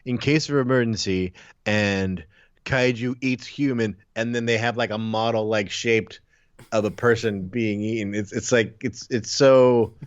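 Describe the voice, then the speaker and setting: A man co-hosting a podcast, chatting casually about a film in halting, rambling sentences. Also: on the low side, steady tone, clean tone, dry recording, muffled recording. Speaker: podcast co-host. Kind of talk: casual movie discussion.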